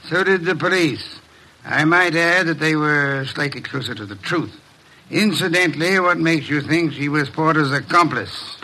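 An actor playing an imperious, sardonic genius detective in an old-time radio drama, speaking in a precise, theatrical and pompous way. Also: male voice, on the high side, normal speed, sharp tone, slight background noise, poor audio. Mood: unruffled, irritated.

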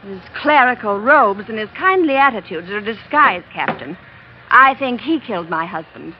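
An actress playing an anxious, secretive widow in 1940s radio melodrama, earnest and given to dramatic pauses. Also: female voice, medium pitch, expressive, natural voice. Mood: anxious confiding. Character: anxious, secretive new widow confiding in a sea captain. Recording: dull, faintly noisy old radio recording.

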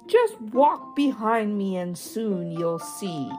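A woman's voice: mid-range voice, lively intonation, slow, dark tone, faint music behind. A woman voicing a small, clever, boastful mouse in a read-aloud storybook.

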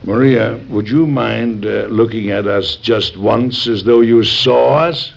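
Blustering, gravelly exasperated father. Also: fairly low pitch, slow. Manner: sarcastic, indignant questioning. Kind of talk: old-time radio drama acting. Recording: dull, noisy old radio recording.

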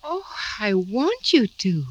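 An actress playing a passionate, scheming aristocratic daughter of seventeen, melodramatic and coy, in an old radio drama. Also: female voice, medium pitch, sing-song, slow, dull audio. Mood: feigned innocence. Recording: noisy old radio recording.

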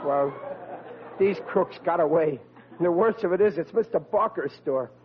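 Man playing a hapless, high-voiced young beat cop. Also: moderate pace, some chatter behind, natural voice. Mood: miserable. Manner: blustering, stammering, exclaiming. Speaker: comic lead actor. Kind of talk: old-time radio comedy acting. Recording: muffled vintage radio, humming, audience behind.

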